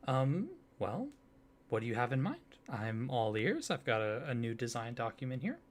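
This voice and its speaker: A man speaking softly and warmly in an ASMR roleplay as a friendly card designer, gently enthusiastic. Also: medium pitch, sing-song, moderate pace. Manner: soft-spoken, gently enthusiastic. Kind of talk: soft-spoken ASMR roleplay.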